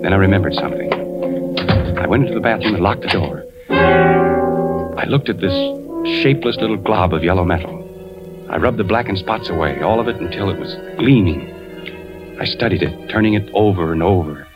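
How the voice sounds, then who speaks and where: A troubled, suspicious husband tells his story in a 1940s radio thriller. The voice is on the low side, terse and hard-boiled. The old broadcast is dull, with music playing behind. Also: animated, moderate pace, pauses often. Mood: brooding, tense. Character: suspicious, troubled husband and father, an insurance investigator. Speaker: actor and first-person narrator in a radio thriller.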